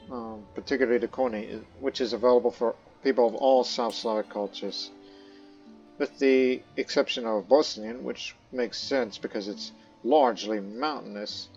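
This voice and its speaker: A man at a middle pitch gives loose, rambling commentary on a strategy game, full of fillers and restarts. The recording is slightly dull, with soft game music underneath. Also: moderate pace. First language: not Croatian; studied Croatian as a second language. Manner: rambling, many fillers and restarts, speaks in character as his ruler. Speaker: let's play host. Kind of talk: casual gameplay commentary. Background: faint game music.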